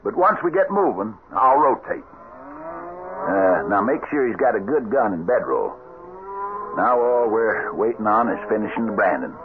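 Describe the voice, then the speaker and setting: Swooping low-voiced western trail boss. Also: male voice, sing-song, normal speed. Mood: easygoing. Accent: American Western. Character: gruff but fair cattle trail boss, fatherly cowboy. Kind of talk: old-time radio western acting. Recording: noisy old radio broadcast, narrow muffled band.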